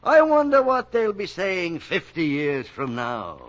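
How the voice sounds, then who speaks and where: High-pitched, excitable young suitor. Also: male voice, a little slow, full character voice. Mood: excited. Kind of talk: 1940s radio comedy sketch acting. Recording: dull old-time radio recording.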